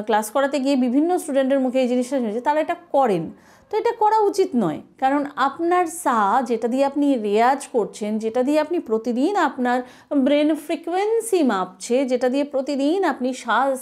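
A woman's voice: high voice, a little mellow. A woman, explaining as a singing teacher in an instructive, emphatic way. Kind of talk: explanatory video lesson.

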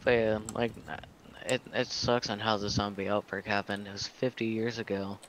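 A man's voice: lowish voice, level tone, normal speed, fairly bright tone. A man chatting casually as a player in an online roleplay game. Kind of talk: casual chat while roleplaying in a video game. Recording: noisy game voice chat, keyboard clatter.

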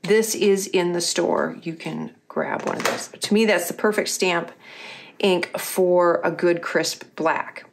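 A middle-aged woman, a little husky and losing her voice, chatting casually as she walks through her planner pages. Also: lowish voice, fairly even intonation, slow, background noise. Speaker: craft company host. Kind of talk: casual craft tutorial voice-over.

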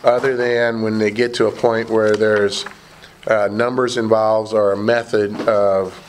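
Hoarse man chairing a meeting, flat. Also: lowish voice, slight background noise, poor audio. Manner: halting, many fillers and restarts. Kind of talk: running a committee meeting. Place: large meeting room indoors.

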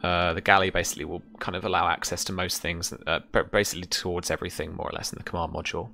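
A man's voice: low-pitched, flat delivery, rapid-fire, gravelly, sharp tone, faint music behind. A young man running a tabletop game as game master, describing scenes casually in piecemeal phrases. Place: indoor room, video call.

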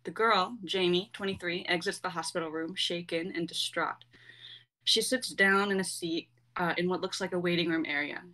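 Lower-pitched young woman reading stage directions. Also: steady tone, moderate pace, bright, forceful tone, low-quality recording. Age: young adult. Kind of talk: scripted narration of stage directions.

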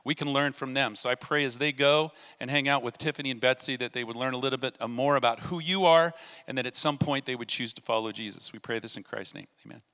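Bright-voiced pastor in a reverberant sanctuary. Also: male voice, mid-range voice, quick pace. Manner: warm, informal with kids, then prayerful. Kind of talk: church service address and prayer. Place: church sanctuary, indoors. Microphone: handheld mic. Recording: long reverb of a church hall.

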